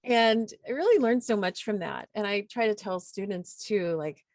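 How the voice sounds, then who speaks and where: A woman's voice: medium pitch, normal speed, darkish tone, no room sound, studio quality. A woman poet and teacher telling anecdotes in an informal, casual way, acting out bits of dialogue. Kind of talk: casual anecdotal panel talk.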